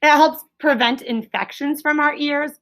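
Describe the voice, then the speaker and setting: A woman's voice: high-pitched, moderate pace, fairly bright tone. A woman teaching a class as a schoolteacher, explaining in simple phrases and prompting pupils with leading questions in an encouraging way.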